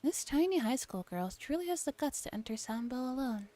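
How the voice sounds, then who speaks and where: A woman streamer gently reading a visual novel aloud in a soft ASMR style, with relaxed casual asides. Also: sits high, a little fast. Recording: music behind the voice.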